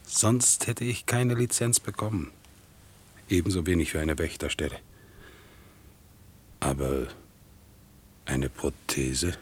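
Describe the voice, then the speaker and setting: Casting: simple, fervently obedient crippled soldier, radio play, stammering and servile, husky voice. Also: male voice, low voice, heavily acted. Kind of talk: 1960s German radio drama acting.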